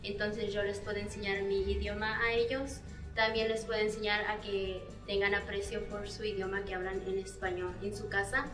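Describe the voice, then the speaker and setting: A woman's voice: medium pitch, flat delivery, quick pace, music in the background. Woman teacher, earnest, kinda far off mic in an echoey room. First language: Spanish. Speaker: dual language teacher. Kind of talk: interview testimonial.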